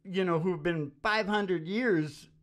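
A man's voice: sits high, slow pace. A middle-aged man ranting casually and emphatically, often checking that the listener agrees, speaking at a stand microphone in a small room. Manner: emphatic, excitable, seeking agreement with tag questions. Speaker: live music show host. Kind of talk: opinionated casual rant. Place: small dim wood-panelled room.

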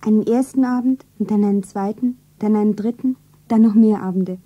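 Eine Schauspielerin spielt in einem Hörspiel eine junge, naive Verkäuferin, zärtlich und sentimental verliebt, mit weicher Stimme. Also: female voice, medium pitch, fairly quick, dull audio, natural voice. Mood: dreamy, hopeful. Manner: naive, sentimental, self-narrating. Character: slender young shopgirl, tender, wistfully devoted lover. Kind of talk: German radio play acting.

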